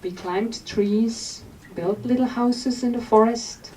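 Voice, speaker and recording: A woman from Switzerland, reading aloud from her memoir at a bookstore event in a gentle, unhurried storytelling manner. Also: on the high side. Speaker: author. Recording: distant mic with faint room noise.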